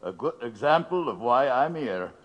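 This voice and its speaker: Actor as shrewd detective chief, animated intonation. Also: male voice, mid-range voice, moderate pace, lo-fi sound, natural voice. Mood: cautious, persuasive. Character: shrewd, cautious male detective agency chief. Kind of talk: audio drama acting.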